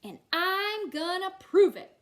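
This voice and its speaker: Woman voicing an eager, high-pitched stubborn little boy. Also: sing-song, slow pace, heavily acted. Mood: defiant. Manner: emphatic, exclaiming.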